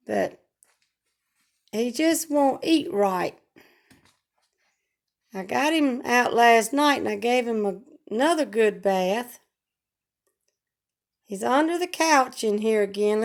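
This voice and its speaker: Hoarse high-voiced woman, slow. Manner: slow, homespun, rambling talk with regional grammar. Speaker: vlogger. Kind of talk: casual home vlog chat. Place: at home.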